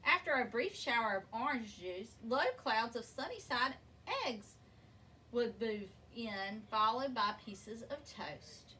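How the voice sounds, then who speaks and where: A woman with a bright, very animated voice reads a children's story aloud. She speaks expressively and deliberately, stretching key words. She sounds a little distant from the microphone. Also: fairly high pitch. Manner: expressive, deliberate, drawn-out emphasis. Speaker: storybook reader. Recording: distant mic with faint background noise.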